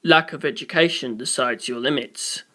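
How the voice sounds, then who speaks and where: A reader voicing a calm, stern male priest in a story, measured and disdainful. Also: medium pitch, pitched below the performer's own voice. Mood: cold disdain.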